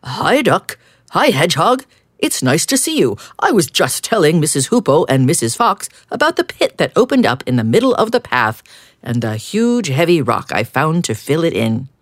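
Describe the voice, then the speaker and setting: A woman's voice: low-pitched, big pitch swings, moderate pace, no echo, studio quality, pitched above the performer's own voice. A woman voicing a cheerful, chatty male billy goat in a storybook reading.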